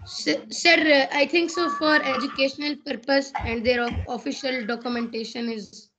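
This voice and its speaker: Female student, high voice, respectful, dull noisy recording. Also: steady tone, normal speed. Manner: answers and asks questions, addresses teacher as sir. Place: classroom.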